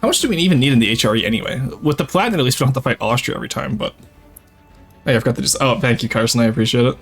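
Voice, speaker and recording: A man's voice: mid-range voice, lively intonation, racing, vocal fry, fairly bright tone, breathy edge, faint music behind. A young French Canadian man with a light Québécois accent, chatting casually with his viewers while playing a strategy game. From Quebec, Canada. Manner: chatty, reads and answers chat while playing. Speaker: streamer. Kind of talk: casual gameplay livestream chat.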